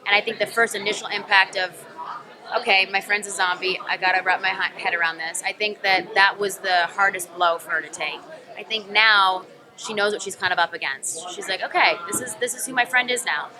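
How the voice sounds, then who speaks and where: A woman's voice: mid-range voice, brisk. A young woman actor answering press questions in a thoughtful, rambling way with many ums. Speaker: actor being interviewed. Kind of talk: press room interview answers. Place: busy convention press room. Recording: crowd chatter behind.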